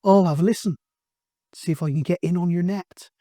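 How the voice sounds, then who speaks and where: Higher-pitched man, chatting casually. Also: fairly quick, mellow, no room sound, clean studio sound. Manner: chatty, anecdotal, colloquial. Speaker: video host, radio hobbyist. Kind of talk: casual rambling vlog introduction.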